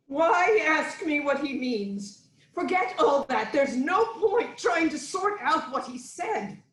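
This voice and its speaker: A woman acting an anguished, imploring queen in a theatrical reading of Greek tragedy, in a dramatic drawn-out delivery. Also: high voice, sing-song, a bit bright, full character voice. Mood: dismissive. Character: anguished, pleading queen of ancient Thebes, mother and wife. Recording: echoey room with noise.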